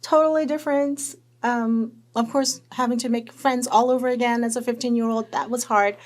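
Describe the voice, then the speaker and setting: A woman who grew up in Hong Kong, a guest telling her story in a conversational interview with lively, animated storytelling. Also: fairly high pitch, moderate pace.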